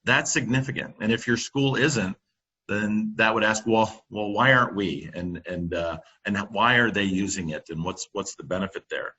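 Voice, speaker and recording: Husky man presenting, with lots of fillers. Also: on the low side, fairly even intonation, pushed and bright, dull audio. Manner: halting, many fillers and restarts. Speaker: company presenter on classroom audio. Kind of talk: webinar presentation. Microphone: computer microphone.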